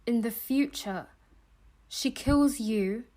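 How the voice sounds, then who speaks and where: A woman playing a desperate, defiant young time traveller in an audio drama, speaking emotionally and haltingly. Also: a little high, warm tone. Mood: grieving, helpless.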